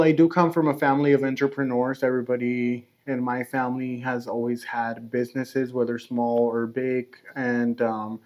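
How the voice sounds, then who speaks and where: An easygoing man from Mexico talks through his answers in a podcast chat, his voice staying level with little rise or fall. He pauses often to gather his thoughts. The recording sounds a little dull and muffled. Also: medium pitch. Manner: easygoing, steady, with frequent "um" and light laughs. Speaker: podcast guest, snack brand founder. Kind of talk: casual interview answers.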